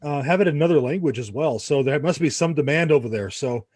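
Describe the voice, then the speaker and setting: Male host, brisk and friendly, dry close-miked sound. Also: on the high side, lively intonation, darkish tone, no room sound. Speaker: podcast host. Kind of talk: hosting an interview.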